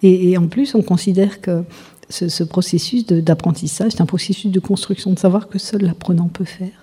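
A woman's voice: fairly low pitch, steady tone, a little fast, warm tone, husky. A woman explaining as a university teacher in an interview, in a didactic, emphatic way.

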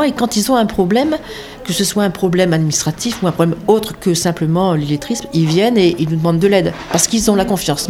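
A woman volunteer calmly explaining how she builds trust with learners. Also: fairly low pitch. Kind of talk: interview answers.

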